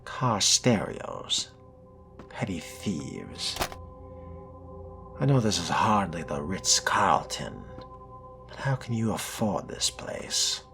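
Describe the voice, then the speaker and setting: Very deep, slow-spoken wry man. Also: sing-song, gravelly, over music. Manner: wry, measured, formal phrasing. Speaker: roleplaying gamer narrating a playthrough. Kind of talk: in-character game roleplay, musing and reading aloud.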